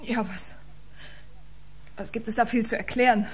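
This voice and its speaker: Husky, intense exiled woman musician. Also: a little high, steady tone. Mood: resigned, brooding. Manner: dramatic, breathy, deliberate. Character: proud, wounded woman biomusician exiled in space, intense. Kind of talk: German science fiction radio drama acting.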